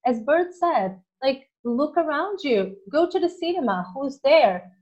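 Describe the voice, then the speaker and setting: A woman's voice: high-pitched, expressive, unhurried, darkish tone, dull audio. A woman with a Portuguese accent hosting a casual conversation, speaking earnestly and now and then searching for words. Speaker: host.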